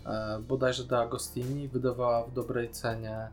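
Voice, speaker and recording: A man's voice: medium pitch, little pitch movement, normal speed, faint music behind. A man co-hosting a casual podcast discussion, giving opinionated, critical commentary. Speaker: podcast co-host.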